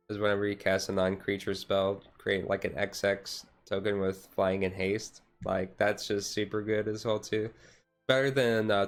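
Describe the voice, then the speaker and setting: Low, flat-toned man chatting. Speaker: streamer. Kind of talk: casual ASMR chat stream.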